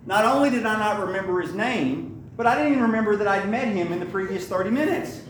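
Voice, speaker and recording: A man's voice: animated, fast. A middle-aged man preaches in a storytelling way, pushing his voice up for emphasis and restarting phrases as he goes. He is caught from a distance by the mic in an echoey church with faint background noise. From Lebanon, Tennessee area. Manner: storytelling, rises in pitch for emphasis, frequent restarts. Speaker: pastor. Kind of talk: sermon.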